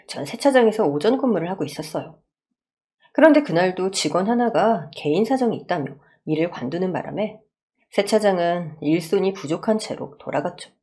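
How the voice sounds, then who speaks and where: A woman's voice: on the low side, dark tone. A woman reading a scripted first-person story as a radio drama narrator.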